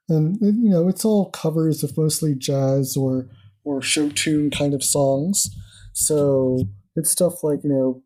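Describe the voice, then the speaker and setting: A podcast co-host chatting casually and eagerly, with frequent ums while recapping news. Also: mid-range voice. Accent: not Australian. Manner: excitable, many um fillers. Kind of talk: casual chat.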